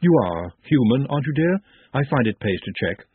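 Man playing a grand, husky dwarf madame. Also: mid-range voice, very animated, a little fast, no echo. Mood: confiding. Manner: smooth-talking, confiding, condescending. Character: shrewd, grand female dwarf fashion madame. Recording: muffled phone-like narrow band.

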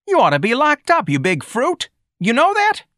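Guy doing a bratty teen street punk from a novel, pitched up, slangy and sneering. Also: wildly swooping pitch, moderate pace, sharp tone, dry recording, studio quality, heavily acted. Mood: angry, snarling. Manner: slangy, brash, ungrammatical. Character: surly, sneering teenage boy street punk.

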